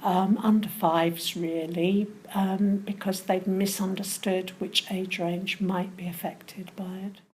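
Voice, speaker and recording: A woman working in community support gives a measured, explanatory account in an interview setting. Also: medium pitch, flat delivery, a little mellow, husky, rough recording. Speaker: community support charity worker.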